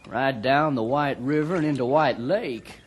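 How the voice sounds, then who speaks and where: A man's voice: mid-range voice, unhurried, close to an everyday voice. An actor playing a carefree, dismissive fisherman in a vintage radio drama, with a breezy, folksy manner. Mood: easygoing. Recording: old radio recording, faint noise.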